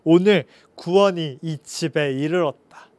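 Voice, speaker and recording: A man voicing a calm male teacher who speaks slowly, firmly and gently. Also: on the high side, dry recording, pitched below the performer's own voice, lightly acted. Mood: gentle.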